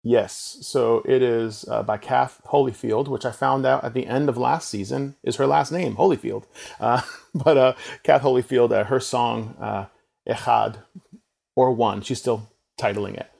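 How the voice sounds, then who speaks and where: A man talks things through in a reflective, unhurried way, pausing often on small fillers. His mid-range voice has a marked gravelly fry running through it. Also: mid-range voice, fairly even intonation, a little mellow. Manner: thoughtful, reflective, many filler pauses. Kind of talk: casual discussion of worship songs.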